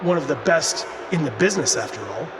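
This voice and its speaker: A man playing a smug veteran champion wrestler giving a measured, condescending promo in a video game. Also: fairly high pitch, expressive, natural voice. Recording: noisy arena PA with long reverb.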